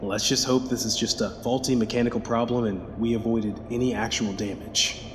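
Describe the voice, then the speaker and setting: A man's voice: mid-range voice, steady tone, a little fast, noisy background, lightly acted. A man playing an anxious, bitter, foul-mouthed spacecraft crew member in an audio drama. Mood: anxious, weary. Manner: muttering to himself, swearing, sighing. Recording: long hall-like reverb under loud music.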